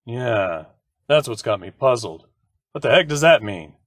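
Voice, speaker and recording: Man as radio-drama police lieutenant, businesslike and wry, medium pitch. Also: very animated, unhurried, fairly bright tone, dry recording. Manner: matter-of-fact. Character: brisk, wry male police lieutenant, old pal of the detective. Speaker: supporting actor. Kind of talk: old-time radio drama acting.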